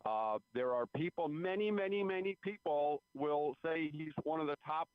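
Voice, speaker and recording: A man's voice: fairly high pitch, normal speed, clear, resonant tone, dry recording. A man giving conversational sports analysis as a guest reporter, repeating phrases for emphasis, over a phone line. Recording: phone-like radio call-in line.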